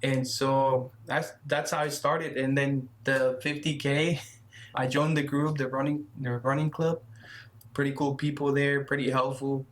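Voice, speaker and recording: A man in his thirties chats casually as an interview guest. He talks in halting bursts, repeats his words, and laughs a little shyly. His voice sits at a middling pitch. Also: level tone, a bit bright. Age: 30s. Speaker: guest runner.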